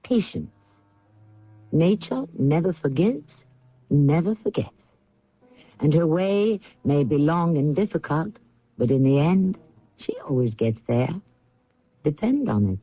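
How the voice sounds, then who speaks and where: A man hosting a radio drama, narrating a script in a theatrical, deliberate, portentous way. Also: on the high side, animated. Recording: dull lo-fi old radio recording.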